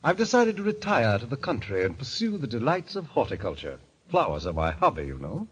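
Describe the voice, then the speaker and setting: An actor playing a suave, sinister gentleman who runs a deadly gambling club, formal and coolly courteous, in old-time radio drama style. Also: mid-range voice, wildly swooping pitch, fairly quick, slight background noise. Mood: smooth, courteous. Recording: muffled vintage radio recording.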